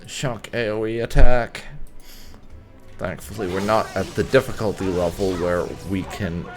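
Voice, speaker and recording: A man's voice: on the low side, steady tone, measured pace, music in the background. A man giving casual, dry let's play commentary on a video game, talking to the characters as he plays.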